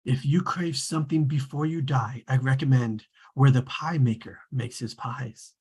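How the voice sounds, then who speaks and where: A performer reading the part of an omniscient, wry storybook narrator in a measured, formal way at a table read. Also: mid-range voice, a little mellow, breathy edge.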